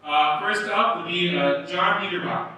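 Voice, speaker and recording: A young man with a high, bright voice hosts a candidate forum from some distance off the mic in a very echoey hall. He talks informally and halts often, filling gaps with "uh." A faint hum sits underneath. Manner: informal, halting, lots of fillers. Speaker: forum moderator. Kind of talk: announcements and forum hosting. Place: large hall.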